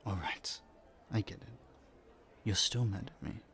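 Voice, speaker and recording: Low, creaky gentlemanly young prince acted by a man. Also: unhurried. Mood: unsure, flustered. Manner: playful, polite, slightly flustered, hesitant stammers. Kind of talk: romantic audio roleplay, speaking to a listener. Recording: faint noise, background ambience.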